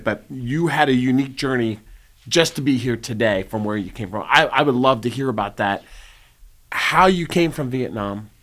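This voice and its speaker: A man's voice: mid-range voice, fairly bright tone. Enthusiastic man hosting a podcast. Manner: enthusiastic, stumbling over words and restarting. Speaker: podcast host. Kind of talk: conversational show introduction.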